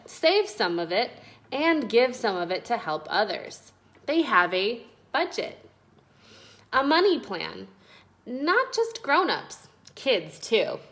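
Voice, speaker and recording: Female read-aloud narrator, higher voice with very animated pitch, deliberate and instructional. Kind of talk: expressive children's story reading.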